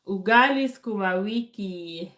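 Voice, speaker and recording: A woman from Kenya hosting a casual live Q&A, calm and unhurried, laughing often, still getting over a bad cold. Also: medium pitch, reverberant. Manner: calm, slow, laughs often, many fillers. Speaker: livestream host. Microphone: phone held to camera.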